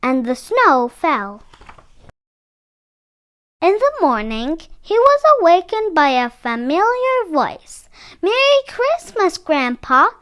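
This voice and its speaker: A woman's voice: high-pitched, very animated, slow, long pauses. A woman narrating a children's picture book expressively, with dramatic phrasing. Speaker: read-aloud storyteller for children.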